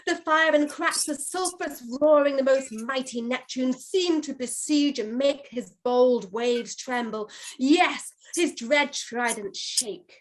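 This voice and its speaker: A woman's voice: sits high, slow pace, hardly any pauses, rough recording. A woman playing an airy servant spirit in a Shakespeare reading, declaiming verse in an eager, deferential, theatrical way. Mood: excited, vivid.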